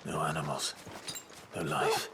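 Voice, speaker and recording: An actor playing a brooding warrior lord in a fantasy video game, speaking gravely and with restraint. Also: male voice, very deep voice, huge pitch swings, a little slow, heavily acted. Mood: grim. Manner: grave, understated. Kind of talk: dramatic video game dialogue. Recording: background noise with outdoor ambience.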